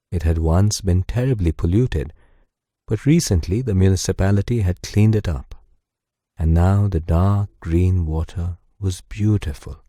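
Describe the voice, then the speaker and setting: A man narrating a guided sleep meditation and bedtime story in a soothing, gentle, unhurried way, close on the microphone. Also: sits low, no echo. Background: soft music.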